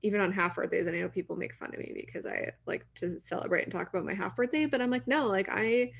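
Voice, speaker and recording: A woman's voice: medium pitch, fast, gravelly, slightly rough. A woman in her late twenties co-hosting a podcast, talking in an informal, encouraging way with plenty of "like". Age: late 20s. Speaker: podcast co-host interviewing her co-host. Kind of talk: casual podcast chat.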